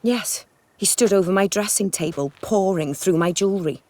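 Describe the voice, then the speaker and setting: A woman in a video game playing a worldly, self-assured aristocratic lady who speaks in measured, elegant, formal sentences. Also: medium pitch, darkish tone, dry recording, lightly acted. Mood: guarded recounting.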